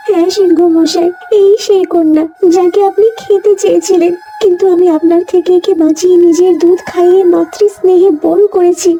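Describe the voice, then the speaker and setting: A woman's voice: extremely high pitch, flat delivery, a little fast, few pauses, warm tone, rough recording. A woman playing a motherly old cow in a cartoon, tender and self-sacrificing, with a pleading, endearing way of talking. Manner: pleading, endearing address to her child. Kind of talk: cartoon voice acting. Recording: music behind the voice.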